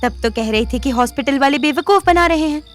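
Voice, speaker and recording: A woman's voice: high-pitched, a little fast, close to an everyday voice. An actress playing a bold, streetwise young woman in an audio drama, with a bossy, sardonic, teasing manner. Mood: scornful. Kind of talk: Hindi audio drama acting. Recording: music under the voice. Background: background music.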